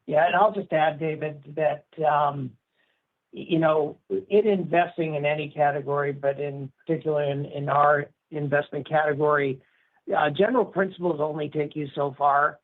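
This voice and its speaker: A male company executive answering questions on a conference call in a measured, formal and emphatic way. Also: on the high side, steady tone. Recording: narrowband conference phone line.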